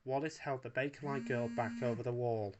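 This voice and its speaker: A male storyteller reads a narrative aloud. His voice sits at a middle pitch, in a scripted storytelling style. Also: fairly even intonation, brisk, muffled recording, background noise.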